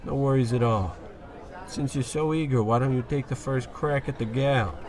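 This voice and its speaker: A mid-pitched, cocky wise guy acted by a man in the mold of 1940s radio. He is bossy and slangy, a brash bar-room type in a comedy play. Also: normal speed, a crowd in the background, close to an everyday voice. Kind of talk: old-time radio comedy acting.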